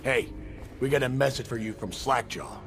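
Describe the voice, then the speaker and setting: A man's voice: medium pitch, normal speed, over music, natural voice. An actor playing a blunt, informal street gang henchman in a video game. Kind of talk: video game character dialogue.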